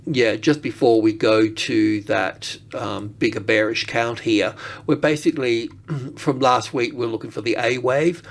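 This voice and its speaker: A man giving unscripted market analysis as he works through charts, rambling and halting as he thinks aloud. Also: mid-range voice, creaky.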